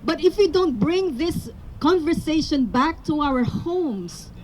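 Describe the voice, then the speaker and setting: A woman's voice: high voice, lively intonation, slow, darkish tone, a little hiss or hum, poor audio. A woman from the Philippines whose first language is Tagalog, giving an earnest public speech at a rally outdoors. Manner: earnest, building to emphatic appeals. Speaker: rally speaker.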